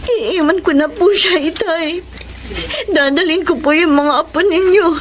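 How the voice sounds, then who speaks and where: A wronged young wife and mother, voiced by a woman, in a very high voice. She swings between bitter resolve and tearful pleading. It is a dramatized audio story. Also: measured pace. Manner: emotional, tearful.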